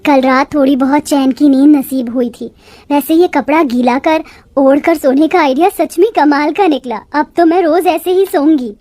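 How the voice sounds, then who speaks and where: A poor young daughter-in-law in a cartoon folk tale, played by a woman. Her extremely high, close and dry voice is sweet, earnest and plaintive. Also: moderate pace, mellow, dry recording, close to an everyday voice. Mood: content.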